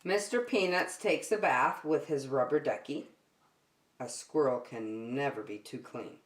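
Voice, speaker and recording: A woman reading a picture book aloud to young children as a circle time teacher, expressive and speaking directly to her listeners. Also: very deep voice, a little slow, roomy sound. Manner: expressive, addresses young listeners with questions and prompts.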